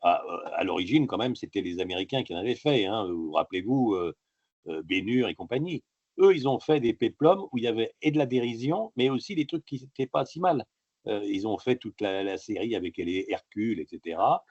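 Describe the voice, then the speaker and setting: A man with a husky, fairly low voice, talking in a halting way and drifting from one tangent to the next, with plenty of filler sounds. The sound is dry and close, with a slightly dull, lo-fi quality. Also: expressive, a little mellow, no echo. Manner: halting, digressive storytelling full of filler sounds. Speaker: author being interviewed. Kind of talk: casual interview talk.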